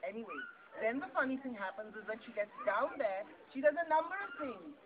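A woman's voice: fairly high pitch, big pitch swings, fairly quick. A woman storytelling interactively to children, playfully asking questions and prompting them to answer. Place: busy book festival event. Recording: tinny phone recording amid crowd chatter. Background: chattering children and crowd.

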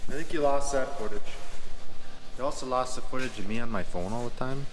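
A man's voice: medium pitch, fairly quick, noisy background. A young man on a farm, teasing his friends in casual chat. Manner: teasing, dry humor. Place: farm machine shed and yard.

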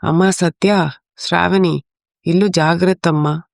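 A woman playing a gentle, weary older mother-in-law in a moral story drama, affectionate and pleading. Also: fairly low pitch, steady tone, a little slow, gravelly, no echo, clean studio sound.

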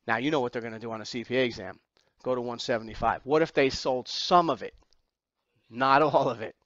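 A man's voice: on the high side, dry recording, dull audio. A man teaching an accounting exam class, lecturing through slides step by step with emphatic repetition and rhetorical questions.

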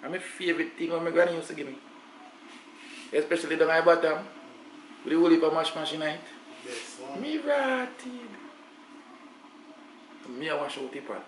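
A middle-aged Jamaican man chats away in a home kitchen, slipping into patois as he cooks. His voice sits a little high for a man, and the room rings back off the cabinets with a faint hum underneath. He sounds recorded by a camera resting on the counter. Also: lively intonation, normal speed, pauses often, reverberant. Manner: chatty, preachy patois asides. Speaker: cooking channel host. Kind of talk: casual cooking vlog.

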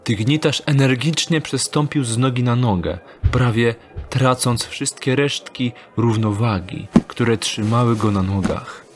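A man narrating a scripted dark fantasy audio drama in a measured, deliberate storytelling manner. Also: medium pitch, background noise.

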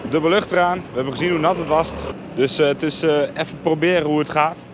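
A man's voice: on the high side, steady tone, fast. Young man vlogging outdoors in noisy wind. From Netherlands. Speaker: farmer and vlogger. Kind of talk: casual vlog narration to camera. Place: outdoors on a farm and in a tractor cab. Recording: windy outdoor recording with machinery noise. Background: wind and vehicle noise.

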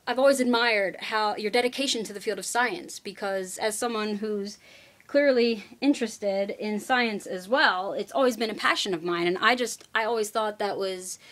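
A woman's voice: mid-range voice, fairly bright tone. A young woman recording an earnest, rambling fan message on a webcam at home, with many fillers. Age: young adult. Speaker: fan sending birthday wishes. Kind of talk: casual fan video message.

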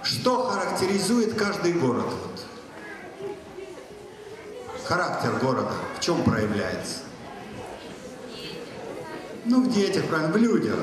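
A man in his thirties does stand-up on a big stage, talking straight to the crowd in a slightly high voice. He is heard from far off through a handheld mic, with a long reverb. The audience is clearly audible around him. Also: sing-song, unhurried, long pauses. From Soviet Union. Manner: chatty, addresses the audience directly. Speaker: stand-up comedian. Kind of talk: stand-up monologue. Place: large stage hall. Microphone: handheld mic, filmed from far. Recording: echoey hall from far off.

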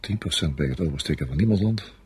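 An actor playing a confident, coldly calculating senior French army officer in a radio play, speaking with matter-of-fact authority. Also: male voice, a bass voice, animated, fast talker, darkish tone, breathy edge, exaggerated voice. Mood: coolly calculating. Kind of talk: Dutch radio play acting.

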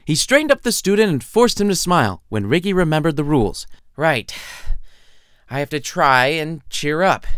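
A man's voice: high voice, a bit bright, no echo, studio quality. A man reading his own story as a wry, theatrical narrator. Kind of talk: lively scripted storytelling narration.

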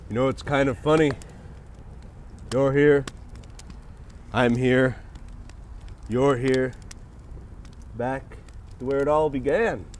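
A man's voice: medium pitch, steady tone, slow pace, long pauses, slight background noise. A man in his thirties co-hosting a comedy sports show, delivering lines with mock-dramatic earnestness outdoors in the snow. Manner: theatrical, gravely earnest delivery played for laughs. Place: outdoors in snow beside a stadium.

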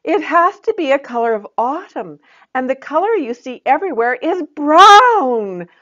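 A woman's voice: sits high, big pitch swings, measured pace, a bit bright, muffled recording, pitched above the performer's own voice, heavily acted. A woman voicing a plain young fairy princess for a storybook, glum and self-pitying, complaining with emphasis. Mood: indignant, glum.

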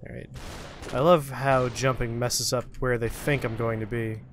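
A man's voice: medium pitch, little pitch movement, normal speed, a little hiss or hum. A man hosting a gaming show, giving casual video game commentary with wry, playful asides.

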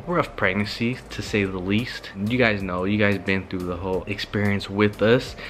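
Young male vlogger, low-ish voice, relaxed slang, faint music under him. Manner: laid-back slangy talk to camera. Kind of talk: casual vlog chat. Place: bedroom at home.

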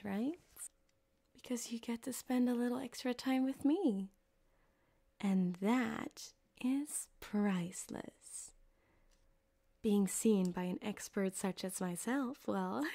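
Yandere ripperdoc woman performer, ASMR roleplay: slow, soft, half-whispered, coy and quietly sadistic, close binaural mic. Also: fairly high pitch. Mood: flirty, smug. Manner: soft-spoken, often dropping to a whisper, halting and coy. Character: flirtatious, sadistic yandere female cyberpunk ripperdoc, sweetly menacing. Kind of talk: ASMR roleplay addressed to the listener. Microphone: close binaural microphone.

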